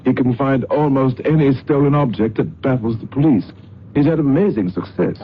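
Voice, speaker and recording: An actor playing a courteous gentleman, formal and matter-of-fact in an old radio drama. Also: medium pitch, moderate pace, lightly acted. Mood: courteous, informative. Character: proper, courteous English gentleman. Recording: muffled, hissy old radio recording.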